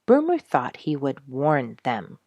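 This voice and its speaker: A woman reading a children's story aloud as a storyteller, with expressive, dramatic emphasis and some hushed phrasing. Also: rumbling low, slow pace, no room sound, highs cut off.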